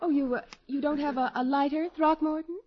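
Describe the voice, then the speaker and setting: A woman's voice: high-pitched, steady tone, brisk, close to an everyday voice. A woman playing a genteel, gracious, fluttery lady being courted in a 1950s radio comedy. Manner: polite, refined, fluttery. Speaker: actress in a radio sitcom. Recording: dull old 1950s radio recording.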